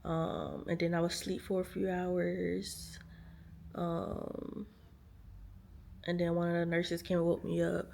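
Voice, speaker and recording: A woman's voice: lowish voice, monotone, slow, vocal fry, long pauses, dark tone, a little hiss or hum. A tired woman, chatting casually to viewers from a hospital room. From United States. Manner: chatty, lots of fillers, speaks to viewers as y'all. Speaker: vlogger. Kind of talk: casual storytelling to camera.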